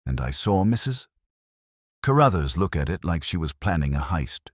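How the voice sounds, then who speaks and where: Man playing accusatory young niece, firm, suspicious, low-pitched, audio mystery. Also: big pitch swings, normal speed, no room sound, studio quality. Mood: accusing. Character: stern, suspicious bespectacled young niece, female.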